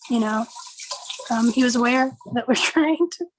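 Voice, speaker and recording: A woman's voice: fairly high pitch, moderate pace, background noise. A woman speaking casually as a participant in an informal group meeting.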